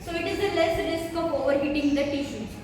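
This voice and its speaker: Echoey, high-pitched young Indian woman presenting. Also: fairly quick. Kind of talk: prepared educational presentation. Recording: very echoey room, distant mic, noisy.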